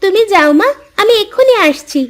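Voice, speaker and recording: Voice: very high-pitched, animated, moderate pace, fairly bright tone. A performer voicing a cheeky, affectionate young farmer's daughter in a moral-story cartoon, teasing and a little bossy. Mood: playful.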